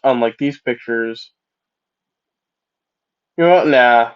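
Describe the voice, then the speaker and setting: A man with a stuffed-up cold narrates a fan story slowly, wandering off into asides and correcting himself as he goes. His voice sits at an ordinary pitch. It comes through a dull, lo-fi mic. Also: smooth. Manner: rambling, self-correcting, casual asides. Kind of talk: improvised fan-fiction storytelling.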